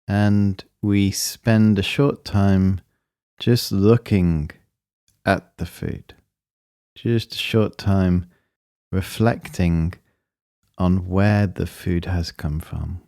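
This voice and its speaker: A man leading a guided meditation, speaking in a calm, measured and gently instructing manner. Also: sits low, dry recording, studio quality.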